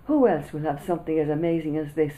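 Storybook kid: dramatic schoolboy, voiced by an older woman reader, low and mellow. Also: fast, close to an everyday voice, low-quality recording. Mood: pleading. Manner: pleading, playful. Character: cheeky schoolboy friend, dramatic and pleading.

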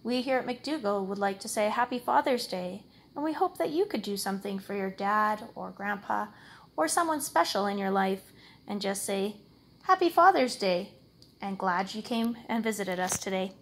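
A woman reading a picture book aloud as a storyteller, with expressive, measured, dramatic phrasing. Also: medium pitch, slight background noise. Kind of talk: expressive read-aloud narration.

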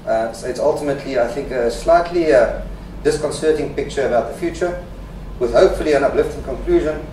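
A man gives a presentation at a fast clip, tossing in wry jokes between his points. He is picked up from a distance in an echoey hall, with clear background noise. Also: medium pitch, a little mellow. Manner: wry, joking asides with fillers. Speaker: conference speaker. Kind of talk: presentation talk. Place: conference hall.

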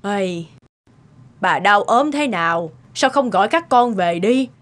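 A woman's voice: mid-range voice, lively intonation, unhurried, lightly acted. A woman voicing an earnest, emotional elderly woman in a cartoon family drama. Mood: concerned. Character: earnest, outspoken elderly neighbour and gentle old mother. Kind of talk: animated drama voice acting.

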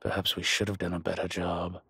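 A man playing a suave, scheming dragon mob boss in an intimate audio roleplay, with measured, husky, breathy delivery and deliberate pauses. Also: low voice, completely flat delivery, sharp tone, dry recording, heavily acted. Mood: contemptuous. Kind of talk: slow, intimate scripted roleplay monologue.

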